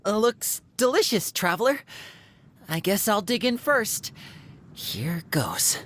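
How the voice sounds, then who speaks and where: A woman voicing a polite, expressive, considerate young character in a fantasy game. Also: medium pitch, slow pace. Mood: bravely appreciative. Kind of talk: animated fantasy game acting. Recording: music under the voice.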